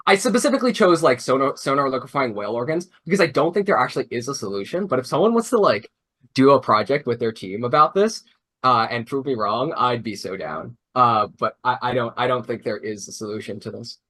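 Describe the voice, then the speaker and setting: A man's voice: medium pitch, lively intonation, brisk, fairly bright tone. A young man mentoring robotics teams, explaining casually on a video call, rambling with many fillers and restarts. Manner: rambling, full of "like" and "uh", self-correcting.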